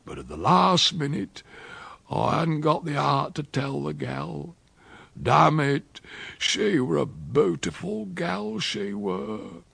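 Guy doing a crusty old country uncle, gravelly and husky, slow drawl, voice swoops all over, dry sound. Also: a little high, big pitch swings, fairly bright tone, no echo, full character voice. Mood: sly. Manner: slow, chuckling drawl with dialect grammar. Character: sly old country uncle, boastful rustic yarn-spinner. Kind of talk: storybook reading.

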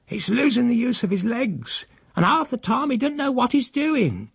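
A man voicing a stern, fretful old housekeeper woman, scolding in a high, shrill voice. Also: sing-song, moderate pace, gravelly, breathy edge, no room sound, studio quality, exaggerated voice. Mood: weary.

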